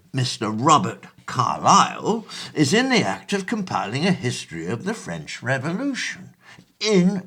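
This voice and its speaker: Man as an elderly-mannered 1820s gentleman, bright lively voice, slow and dithering. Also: on the high side, vocal fry, full character voice. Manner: hesitant, full of ums and uhs, fussy and grand. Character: distinguished 1820s gentleman, bookish, genial and fussy. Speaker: actor. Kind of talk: amateur stage play audio.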